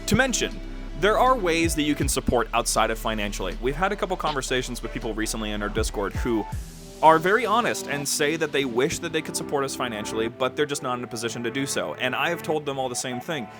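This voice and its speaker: A male podcast host gives a conversational update to his audience over prominent background music. His voice sits at an average pitch. He sounds enthusiastic and informal, leaning on key words for emphasis. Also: big pitch swings, fast. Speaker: podcast host and producer giving a channel overview. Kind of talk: upbeat, conversational channel update to fans.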